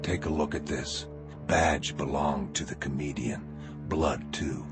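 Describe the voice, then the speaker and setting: An actor playing a cold, bitter masked vigilante in a dark animated comic, with a gravelly growl and terse deadpan fragments. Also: male voice, very deep voice, measured pace. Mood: grim, deadpan. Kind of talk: dark noir motion-comic narration and dialogue. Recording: loud music under the voice. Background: loud music.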